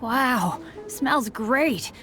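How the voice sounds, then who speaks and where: A woman's voice: a little high, animated, extremely slow, fairly bright tone, faint music behind, exaggerated voice. Eager adolescent boy adventurer. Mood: delighted. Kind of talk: animated game voice acting.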